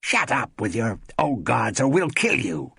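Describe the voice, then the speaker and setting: A man voicing a sharp-tongued old woman of the coven, snapping threats in a raised, husky voice. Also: medium pitch, big pitch swings, a little slow, dry recording, clean studio sound, voice pushed up, exaggerated voice. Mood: snappish.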